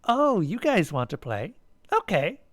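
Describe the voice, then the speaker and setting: A man's voice: high voice, huge pitch swings, a little slow, no room sound, pitched above the performer's own voice, full character voice. A man voicing a girl in a children's pageant who plays a loving mother goddess, proclaiming grandly and sweetly in a story. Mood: sweet, doting.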